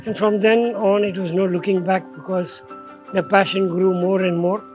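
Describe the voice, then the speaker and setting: A man's voice: high-pitched, little pitch movement, normal speed, music in the background. An older man, a riding school founder recalling his past steadily in a documentary interview.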